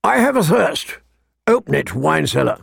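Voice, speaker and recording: Brusque, commanding, dangerous knight in a fantasy audiobook, acted by a man, raised voice, gravelly edge. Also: fairly high pitch, sing-song, normal speed, fairly bright tone, dry recording, studio quality, exaggerated voice. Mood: brusque, threatening. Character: gruff, menacing male knight with big hard hands.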